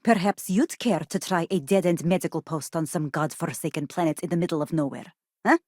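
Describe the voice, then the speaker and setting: A woman's voice: fairly low pitch, a little fast, a little mellow, no echo, lightly acted. Woman acting brusque station doctor, dry close sound. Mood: curt, irritated. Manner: curt, sardonic. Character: brusque, weary female space station doctor. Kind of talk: video game dialogue.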